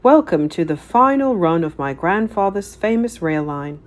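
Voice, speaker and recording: Male storybook train owner read by a woman, earnest, formal, explanatory, animated intonation. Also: lowish voice, normal speed, close to an everyday voice. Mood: welcoming.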